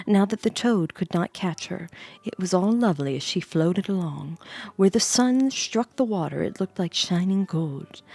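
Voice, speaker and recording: A woman's voice: lowish voice, creaky, mellow, breathy edge. A woman streamer reading a storybook aloud, playfully breaking off for casual asides and reactions. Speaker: streamer host reading bedtime stories. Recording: close dry voice over background music.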